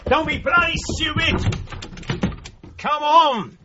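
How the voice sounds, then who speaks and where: An actor playing a hard-edged British police officer, clipped and commanding, in a radio drama. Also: male voice, extremely high pitch, slow pace, noisy background. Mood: urgent, shouting. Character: brisk, hard-edged male police officer making an arrest. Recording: dull lo-fi radio drama recording.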